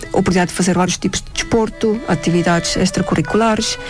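A woman's voice: fairly low pitch, fairly even intonation, fast talker. Estonian woman, interview guest, non-native speaker, hesitant phrasing, music bed behind. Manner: searches for words, many short agreeing replies. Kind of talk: interview conversation.

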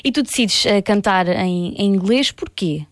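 A woman's voice: mid-range voice, animated, unhurried, dry recording. A woman with a European Portuguese accent hosting a music interview on the radio. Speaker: radio host.

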